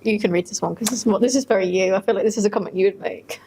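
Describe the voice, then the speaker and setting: A woman's voice: mid-range voice, rapid-fire, mellow, slight background noise. A woman co-hosting a podcast in a casual, conversational style, chatting and reading stories aloud. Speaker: podcast co-host.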